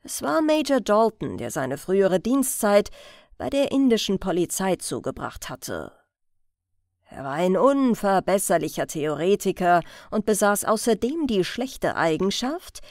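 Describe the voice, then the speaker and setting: Slow, expressive woman narrating an audiobook. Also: medium pitch, dry recording, studio quality. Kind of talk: scripted story narration.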